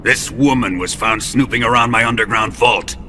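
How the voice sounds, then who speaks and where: An actor playing a menacing, imperious military colonel villain in a video game, with a theatrical, commanding manner. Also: male voice, fairly low pitch, a little hiss or hum. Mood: smug, imperious. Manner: commanding, theatrical, deliberate, explodes into shouting. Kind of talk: dramatic video game acting.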